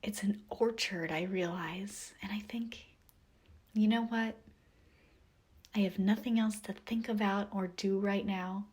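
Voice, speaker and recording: A woman narrating a guided meditation visualization in a soft, breathy, whispery voice, with a gentle, soothing manner and long pauses. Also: mid-range voice, steady tone.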